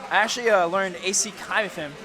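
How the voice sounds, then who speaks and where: A man's voice: sits high, fast, a crowd in the background. A Puerto Rican man explaining game mechanics in casual commentary, often restarting his sentences.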